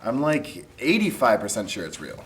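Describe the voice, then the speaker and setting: A man's voice: on the low side, huge pitch swings, normal speed, a bit bright. A young man acting a laid-back, wry male college student in a table read, with casual, slangy delivery. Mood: wry, teasing. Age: young adult. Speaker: actor at a script table read. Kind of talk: casual dialogue read aloud from a script. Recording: noisy room recording.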